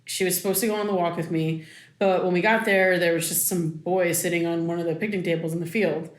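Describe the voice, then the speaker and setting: Woman acting a wounded, lower-voiced daughter in her thirties. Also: fairly even intonation, fairly quick, lightly acted. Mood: reminiscing, casual. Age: 30s. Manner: conversational, hesitant, informal. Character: wounded thirty-three-year-old daughter, insistent and raw. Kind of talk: naturalistic audio drama acting.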